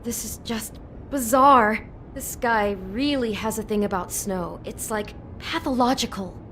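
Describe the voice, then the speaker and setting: An actress playing a shaken, compassionate young woman in a video game, speaking in an anxious, halting, emotional way. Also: on the high side, a bit bright, slight background noise. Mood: disturbed. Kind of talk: naturalistic video game voice acting.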